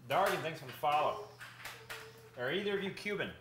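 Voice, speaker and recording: A man's voice: on the high side, animated. A man in his thirties chatting offhand on a cooking stream, reading viewer comments aloud in a home kitchen. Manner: quick offhand remarks, reading chat aloud. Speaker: co-host reading stream chat. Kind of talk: casual livestream banter. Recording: roomy kitchen with background noise.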